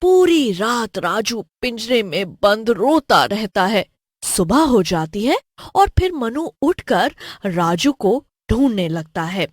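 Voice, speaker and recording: A woman's voice: medium pitch, big pitch swings, slow, dry recording, clean studio sound. A woman narrating a scripted horror story as a storyteller.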